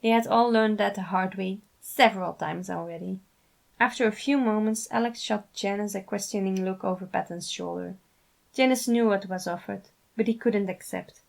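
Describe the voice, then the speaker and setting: A woman's voice: medium pitch, steady tone, normal speed, gravelly. A woman reading scripted fiction aloud as a storyteller who also does character voices. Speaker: fan fiction writer and reader.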